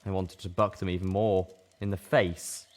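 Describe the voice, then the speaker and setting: A man's voice: deep voice, level tone, moderate pace. A man reading an audiobook as a dramatic, intense first-person narrator. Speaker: audiobook reader.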